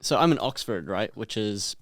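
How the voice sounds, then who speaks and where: A man's voice: on the low side, expressive, normal speed, no echo, studio quality. A young man, a podcast guest, talking in an easygoing, hesitant way. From New Zealand. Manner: easygoing, hesitant, lots of fillers. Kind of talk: casual chat.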